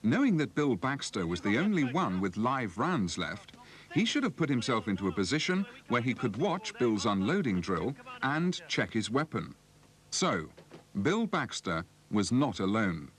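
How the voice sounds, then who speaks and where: A British man delivering measured, explanatory scripted narration for an instructional film. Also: mid-range voice, very animated. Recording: slightly noisy 1980s film soundtrack.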